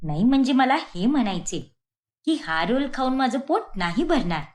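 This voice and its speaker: Simple older cartoon mum, naive, good-natured, animated delivery, performed by a woman. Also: sits high, moderate pace. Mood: naive curiosity. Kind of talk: cartoon moral-story acting.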